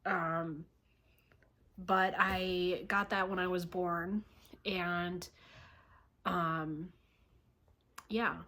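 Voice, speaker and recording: A woman vlogger telling a personal story to camera in a halting way, often pausing and clearing her throat. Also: on the low side, steady tone, pushed and bright. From born in Russia, raised in the United States from age three.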